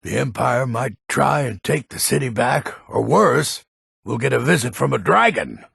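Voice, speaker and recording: Casting: grumpy, proud old Nord townsman for a fantasy game; very raspy, heavy gravel, blunt and folksy. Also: medium pitch, expressive, normal speed, fairly bright tone, no room sound, studio quality, heavily acted. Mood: proud, reminiscing. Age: older. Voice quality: gravelly, husky. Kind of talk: fantasy video game character acting.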